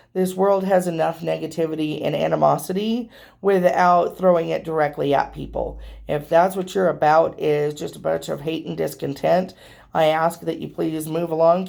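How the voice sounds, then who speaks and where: A woman talks casually to her viewers in a low, gravelly voice that has gone hoarse and a little nasal from allergies. She rambles chattily, with plenty of ums along the way. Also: a little mellow. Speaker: tarot reader and channel host. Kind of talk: casual vlog talking to viewers.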